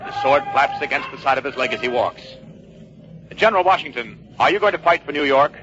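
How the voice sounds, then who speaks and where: An actor playing a persistent American field correspondent in a dramatized 1940s radio newscast, speaking crisply and descriptively. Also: male voice, mid-range voice, expressive, fairly quick, fairly bright tone, a little hiss or hum, lightly acted. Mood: solemn, respectful. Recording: dull vintage radio recording.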